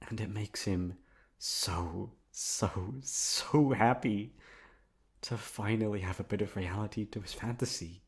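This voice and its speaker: A man playing an obsessive, lovesick young man in an intimate ASMR roleplay, coaxing softly with drawn-out words and emphatic repetition. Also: fairly low pitch. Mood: obsessive, yearning. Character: obsessive lovesick young man confessing to his best friend.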